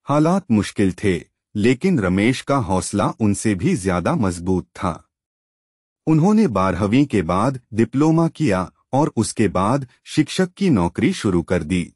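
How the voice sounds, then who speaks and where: A man's voice: on the low side, a little mellow, slightly rough, no room sound, studio quality. A man narrating a scripted motivational story in an earnest, emphatic storytelling manner.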